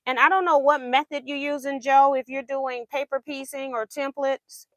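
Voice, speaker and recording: A woman's voice: high-pitched, fairly even intonation, normal speed, a bit bright, dry recording. A woman hosting a quilting livestream, chatting casually while she sews, laughing often and answering viewer comments, her voice going in and out.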